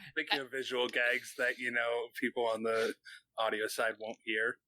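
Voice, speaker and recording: A man's voice: medium pitch, completely flat delivery, normal speed, bright, forceful tone, no room sound, rough recording. A man co-hosting a podcast in casual chat, throwing in wisecracks. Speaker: podcast co-host.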